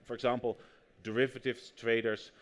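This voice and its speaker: A man's voice: medium pitch, normal speed. A man with a Dutch accent, a native Dutch speaker, telling anecdotes as an author being interviewed at a public talk. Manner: lively anecdotal storytelling, acts out quotes. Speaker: author and anthropologist guest. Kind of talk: conversational interview on stage.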